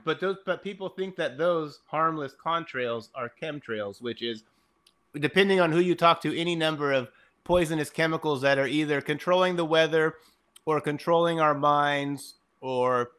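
A man's voice: a little high, normal speed, sharp tone, clean tone. A man chatting casually and reading aloud in a mock-dramatic way, sarcastic, exasperated and free with swearing. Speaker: podcast guest reading fliers aloud.

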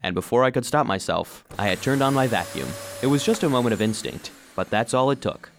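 A man's voice: medium pitch, big pitch swings, fairly quick, a little hiss or hum. A man playing an earnest, eager cleaning robot who narrates his own story expressively in a children's audio drama. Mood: excited, hushed.

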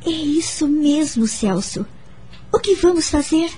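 An actress playing a reflective, forgiving woman telling her story in a radio drama, earnest and measured. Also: high-pitched, animated, warm tone, a little hiss or hum. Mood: concerned. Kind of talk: radio drama acting with narration.

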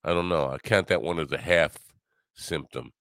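Grumbly low-voiced older man. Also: moderate pace, vocal fry, fairly bright tone, dry recording, clean studio sound. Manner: wry, self-mocking. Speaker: podcast host. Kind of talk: rambling solo monologue.

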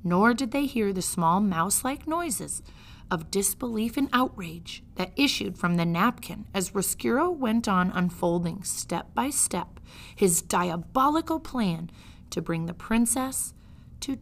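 Female storybook narrator, schoolteacher read-aloud style, expressive, with very lively intonation and mid-range pitch. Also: a little slow, slight background noise. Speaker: fifth grade teacher reading aloud.

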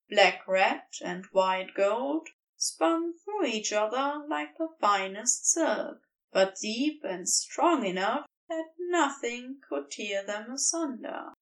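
A woman reading a tender romantic story as scripted narration, in a gentle, literary manner. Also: on the high side, recorded from a distance, rough recording. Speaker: fan fiction audiobook reader.

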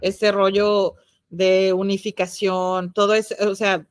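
A woman's voice: medium pitch, little pitch movement, takes it slowly, dry recording. Chatty Mexican woman, dry close sound. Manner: chatty and playful, with frequent laughs and slangy asides. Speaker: podcast co-host. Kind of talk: casual podcast chat about the occult.

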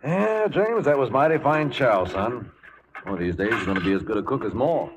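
An actor playing a teasing, hearty cowboy brother with folksy speech in a 1940s radio western. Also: male voice, mid-range voice, animated, normal speed, lightly acted. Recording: noisy 1940s radio broadcast recording.

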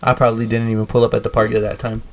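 A man's voice: lowish voice, little pitch movement, racing. A young man co-hosting a podcast, laid-back, with short casual remarks. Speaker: podcast co-host. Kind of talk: casual chat.

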